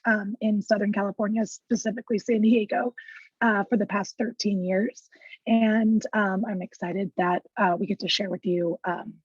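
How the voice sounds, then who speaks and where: A woman with a scratchy, hoarse voice, introducing a webinar as a clinical director with many ums and uhs. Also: medium pitch, darkish tone, no echo, lo-fi sound. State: hoarse, lost voice. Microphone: video call.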